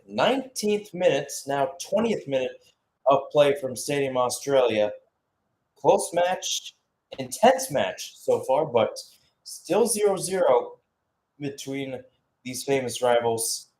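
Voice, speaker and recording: A man giving live radio-style football commentary as a play-by-play caller, mixing match calls with chatty, conversational asides. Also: mid-range voice.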